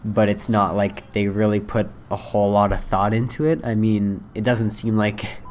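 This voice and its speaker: Young man, hoarse from a cold, low flat delivery, loose and rambling. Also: moderate pace, a little hiss or hum. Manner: rambling, many fillers. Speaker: gaming video creator. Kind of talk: casual first-impressions talk.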